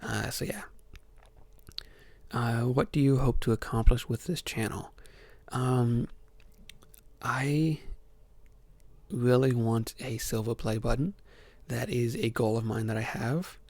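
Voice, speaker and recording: Young man, from Ohio, soft-spoken, hesitant, lots of ums, mid-pitched, dry close sound. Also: steady tone, slow pace, creaky, pauses often, dry recording. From Ohio, USA. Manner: hesitant, many ums and restarts. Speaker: ASMR channel host.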